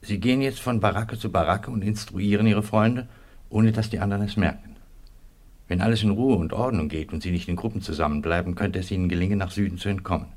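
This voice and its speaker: A resolute camp commander, played by an actor who gives orders firmly and quickly. His voice sits low and sounds steady in a radio play. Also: lightly acted. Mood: urgent, commanding. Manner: firm, commanding, urgent.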